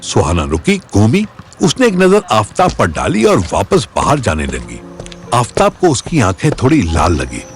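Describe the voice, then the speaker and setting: A man's voice: on the low side, wildly swooping pitch, a little slow, faint music behind. A man narrating a Hindi audio story in a dramatic scripted style.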